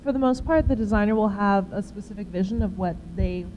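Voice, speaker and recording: A woman in her twenties speaks at a medium pitch. She explains things conversationally, as a guest being interviewed, with clear noise behind her. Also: brisk, dark tone, dull audio. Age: 20s. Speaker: interview guest, model manager. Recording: clear background room noise.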